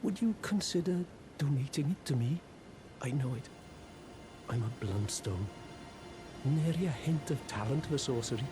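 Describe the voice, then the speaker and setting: A man plays a humble young apprentice sorcerer from a fantasy game. His pitch rises and dips freely as he talks in an apologetic, hesitant way, with a wistful, self-deprecating air. Music plays behind him. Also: animated, moderate pace, long pauses, close to an everyday voice. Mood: wistful, resigned. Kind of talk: video game character dialogue.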